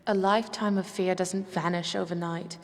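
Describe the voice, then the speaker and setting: An actress playing a loyal, reassuring handmaiden in a fantasy audio drama, speaking gently and with formal earnestness. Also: female voice, fairly low pitch, steady tone, normal speed, natural voice. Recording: long room reverb.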